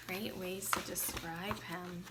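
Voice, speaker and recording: A woman teacher reading a storybook aloud expressively, with deliberate emphasis and asides to children. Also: fairly low pitch, fairly even intonation, very noisy.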